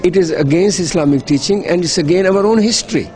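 A man's voice: high voice, fast talker, a little mellow, faint music behind. A middle-aged man, giving earnest, persuasive interview answers as an NGO worker, often repeating words as he restarts. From Pakistan. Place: hotel.

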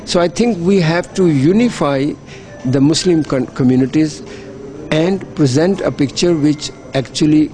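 A man's voice: fairly high pitch, unhurried, dark tone, soft background music. A middle-aged man, giving earnest, persuasive interview answers as an NGO worker, often repeating words as he restarts. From Pakistan. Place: hotel.

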